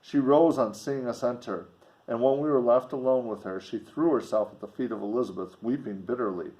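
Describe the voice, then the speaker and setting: A man reading a classic novel in an expressive audiobook style, with spoken commentary. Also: medium pitch, a little fast, low-quality recording.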